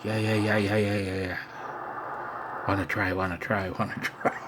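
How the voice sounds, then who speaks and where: A man's voice: fairly low pitch, level tone, moderate pace, noisy background. A man talking casually to the camera in a salty, jokey, exclamatory way as an amateur radio operator, recorded on a handheld phone. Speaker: amateur radio operator filming his own contact. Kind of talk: casual excited talk to the camera.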